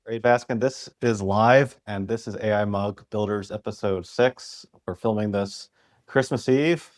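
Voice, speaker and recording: A man's voice: on the low side, measured pace. A man hosting a podcast, asking questions in a friendly, conversational way. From Philadelphia, United States. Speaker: podcast host. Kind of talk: casual interview chat.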